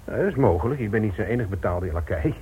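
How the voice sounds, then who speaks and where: A man's voice: lowish voice, expressive, fast talker, warm tone, a little hiss or hum, lightly acted. An actor in a Dutch radio play, playing a cynical, jovial male small-town newspaper editor with a chatty, wry, blustering manner. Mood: knowing, dry. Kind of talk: Dutch radio drama acting.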